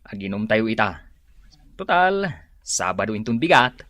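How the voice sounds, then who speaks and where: A man's voice: lowish voice, big pitch swings, normal speed, sharp tone, no echo. An actor playing a stingy, hard-drinking working man in a radio comedy drama, with an Ilocano accent and mumbled, grunting replies. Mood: carefree.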